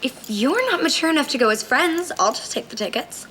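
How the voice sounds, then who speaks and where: Sitcom teen girl, high and bouncy voice, prim and quick to take offense. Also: expressive, fairly bright tone, a little hiss or hum, poor audio. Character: earnest teenage girl, prim and touchy.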